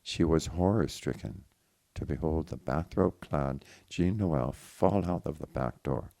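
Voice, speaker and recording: Deep, creaky-voiced male storyteller. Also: measured pace, warm tone. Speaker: audiobook reader. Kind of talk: dramatic literary story narration.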